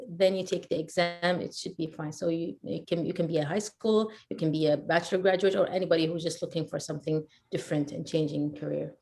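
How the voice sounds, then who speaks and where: Brief: Iraqi-born woman, 30s, low-pitched, relaxed and chatty with frequent laughs, as an interview guest. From Iraq. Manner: thoughtful, laughs easily, many fillers. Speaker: guest, education counselor and photographer. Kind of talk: casual interview chat.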